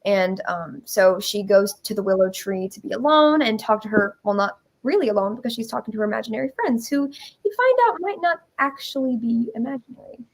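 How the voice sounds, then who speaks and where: A woman's voice: fairly high pitch, a little fast. A woman telling a story in casual, informal chat as an interview guest, with a giggly manner. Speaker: guest presenting a musical.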